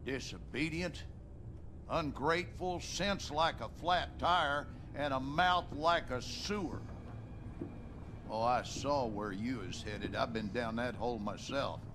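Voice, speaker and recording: A man's voice: mid-range voice, slow, noisy background, close to an everyday voice. A man playing a harsh, bitter, domineering rural American father in a video game, with a folksy Southern drawl. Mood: angry, contemptuous. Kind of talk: video game drama acting. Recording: game music behind the voice.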